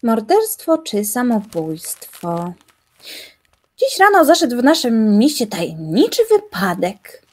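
An actress playing a young woman who reads out grim newspaper notices in a deliberate, dramatic way. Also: on the high side, sing-song, husky, exaggerated voice. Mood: solemn, intrigued.

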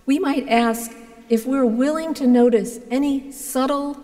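Woman priest, middle-aged, preaching at a pulpit mic; measured and earnest, slightly high voice, long church echo. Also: dark tone. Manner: measured, earnest, with deliberate pauses. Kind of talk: sermon. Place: large stone church, indoors. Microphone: gooseneck pulpit mic.

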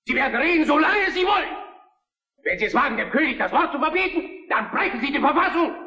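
A reverberant hall carries the voice of an imperious Prussian prime minister. He is defiant and oratorical, with a high pitch that swings widely, in historical radio drama style. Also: sing-song, fast, bright, forceful tone, exaggerated voice. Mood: thundering. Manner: commanding, biting, oratorical. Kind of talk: German historical radio play acting. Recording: echoey parliament hall, long reverb.

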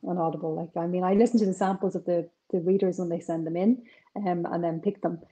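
A woman with a North Side Dublin accent speaks with a low, mellow voice over a video call. She talks chattily and animatedly, full of quick asides, from her kitchen at home. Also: steady tone. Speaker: crime author guest.

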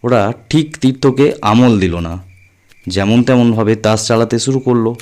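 A man's voice: medium pitch, a little slow, darkish tone. A man narrating a scripted suspense story as an audio storyteller, in a dramatic reading style.

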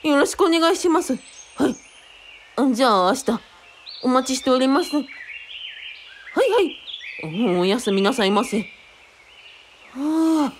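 A woman playing an excitable, vain male cult leader with theatrical, fawning politeness in a radio drama. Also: sits high, unhurried, long pauses, a little hiss or hum. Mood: flustered, pleading.

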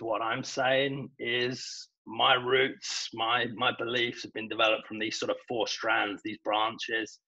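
A British man, a golf coach, giving a measured webinar presentation over a video call, with some hesitations. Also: fairly low pitch, pushed and bright, lo-fi sound. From Britain.

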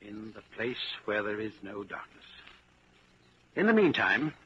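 A solemn, secretive conspirator with cool authority in a 1940s radio play. He speaks formally and with measure, in a bright, forceful voice. The sound is muffled and faintly hissy. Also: lowish voice, very animated, measured pace. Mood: solemn, measured. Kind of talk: 1940s radio drama acting.

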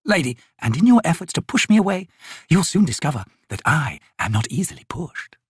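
Man putting on a high-pitched haughty noble sorceress. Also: sing-song, fairly quick, breathy edge, no room sound, studio quality, heavily acted. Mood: challenging. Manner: ornate, lilting, imperious. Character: haughty, teasing noble sorceress, female, flirtatious and grand.

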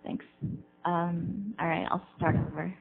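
Young woman, hesitant testimony, lowish voice, tinny lo-fi, faint hum. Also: steady tone, a little slow, vocal fry. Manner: hesitant, with ums. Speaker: student witness. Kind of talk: testimony at a hearing.

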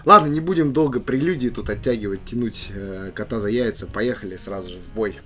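A man's voice: on the low side, fairly quick, fairly bright tone. A man with a bad cold hosting a casual let's play in a jokey, slangy way. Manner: jokey, playful, loose slangy talk. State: sick with a cold, coughing. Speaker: gaming video host. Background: game music and tank engine sounds.

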